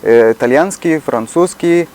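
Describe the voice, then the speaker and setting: A man with an Egyptian Arabic accent, a native Arabic speaker, talking earnestly in casual chat and pausing to find words. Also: a little high, a little mellow. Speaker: shopkeeper.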